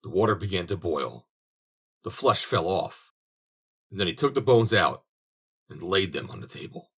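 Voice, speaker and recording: A man narrating a fairy tale in a measured audiobook reading. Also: deep voice, lively intonation, a bit bright, slightly rough. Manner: measured storytelling.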